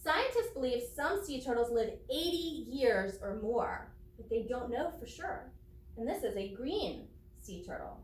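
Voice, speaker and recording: A woman teacher reading aloud to children and explaining each step in a deliberate, instructive way. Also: fairly high pitch, very animated. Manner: deliberate, explanatory, spelling out steps for young pupils. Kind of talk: children's read-aloud lesson with think-aloud commentary. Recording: roomy echo, distant from microphone.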